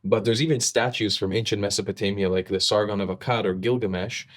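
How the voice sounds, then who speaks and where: A man's voice: on the low side, fairly even intonation, quick pace. A man, a podcast guest, explaining with emphasis in conversation, often repeating words as he starts a sentence.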